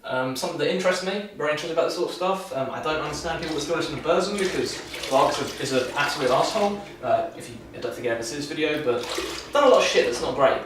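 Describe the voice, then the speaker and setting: A man's voice: fairly high pitch, lively intonation, very fast, hardly any pauses, noisy background. A young man vlogging casually while shaving, talking loosely with lots of restarts and dry sarcasm. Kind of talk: casual rambling film review. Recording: echoey room, mic far off.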